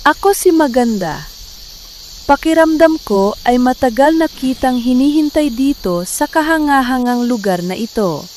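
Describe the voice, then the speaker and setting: Folktale maiden played by a woman: the first woman, gentle, earnest, high-pitched, over faint noise. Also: expressive, normal speed. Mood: tender. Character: Maganda, beautiful gentle first woman, tender and wondering. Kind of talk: Tagalog folktale character acting.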